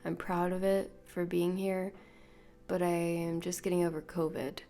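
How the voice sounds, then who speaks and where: A woman hosting a solo podcast, rambling softly and half-whispering, her voice nasal and congested from illness. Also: lowish voice, level tone, measured pace, faint music behind. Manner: soft, half-whispered, meandering. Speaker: podcast host. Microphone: podcast microphone.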